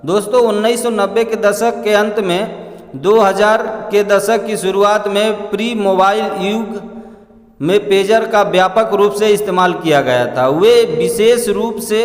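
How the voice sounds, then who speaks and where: A man reads a scripted explainer in a halting way, addressing listeners as friends. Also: sits high. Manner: halting read-aloud delivery, often addressing listeners as friends. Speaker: presenter narrating an explainer. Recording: echoey room with long reverb.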